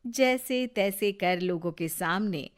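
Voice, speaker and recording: A woman narrating a scripted animated story for children. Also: mid-range voice, takes it slowly.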